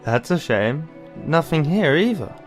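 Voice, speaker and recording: A man playing a caring, bookish older brother in an audio drama, explaining things in a measured, slightly formal way. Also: a little high, sing-song, faint music behind. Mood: knowing, patient. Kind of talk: anime-style audio drama acting.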